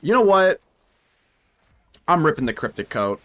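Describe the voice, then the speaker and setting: Animated young man streaming a card game. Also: on the high side, a little slow. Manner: animated, talks to himself and chat, jokey. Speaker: gaming streamer. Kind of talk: live gameplay commentary.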